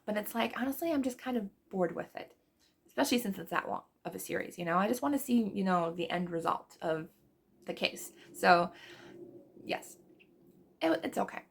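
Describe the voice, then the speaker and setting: A woman's voice: medium pitch, lively intonation, moderate pace, creaky, breathy edge. A woman book vlogger losing her voice, chatting casually through her monthly reading wrap-up with rambling asides and small laughs.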